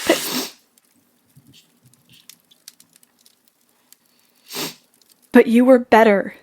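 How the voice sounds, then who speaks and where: An actress plays a spirited, independent young woman with a high-pitched voice. She speaks earnestly and with emphasis, loving yet stubborn, in the manner of an audio play. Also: steady tone, measured pace, a little mellow, lightly acted. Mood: worried, denying.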